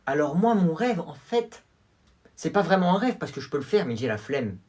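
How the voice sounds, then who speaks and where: A man's voice: a little high, big pitch swings, moderate pace, darkish tone. French man acting out comedy skits. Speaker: comedy sketch performer. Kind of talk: comedy skit acting several roles.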